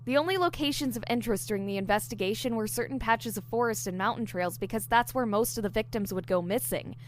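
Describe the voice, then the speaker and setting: A woman's voice: fairly high pitch, quick pace, lightly acted. Earnest female investigator, voiced by a woman, noisy recording. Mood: earnest, speculative. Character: eager, diligent newly hired young female investigator. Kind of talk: audio drama dialogue, explaining case theories.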